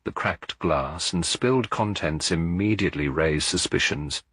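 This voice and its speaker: A man reading a scripted story as an audiobook narrator, in a measured, literary manner. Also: low voice, fairly bright tone, slightly rough, no echo.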